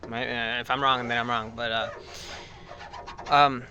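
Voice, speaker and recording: A man's voice: medium pitch, flat delivery, measured pace, sharp tone, lo-fi sound, a little hiss or hum. Chatty young male podcast host. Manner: chatty, reacting with short fillers. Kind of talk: casual chat.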